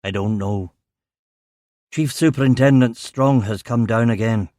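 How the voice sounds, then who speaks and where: A man's voice: mid-range voice, unhurried, darkish tone, no room sound, studio quality, lightly acted. A man voicing a grave, worried chief inspector in an audiobook, speaking tersely and with caution. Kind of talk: audiobook character dialogue.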